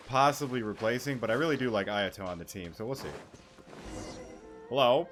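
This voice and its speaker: A man's voice: mid-range voice, a bit bright. A man doing casual, irreverent gameplay commentary as a streamer, reacting aloud to the game. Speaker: gaming streamer. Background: game music.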